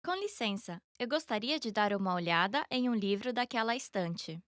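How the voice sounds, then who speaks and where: A woman reading a scripted listening dialogue for learners in a clear, measured way. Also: mid-range voice, animated, a bit bright, no echo, clean studio sound. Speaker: dialogue narrator and speaker.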